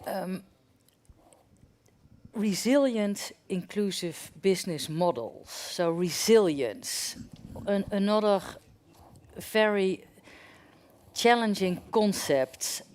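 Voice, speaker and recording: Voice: mid-range voice, animated, slow, long pauses, a little mellow. A moderator introducing a panelist and asking questions on a conference panel, talking conversationally with some "uh" fillers.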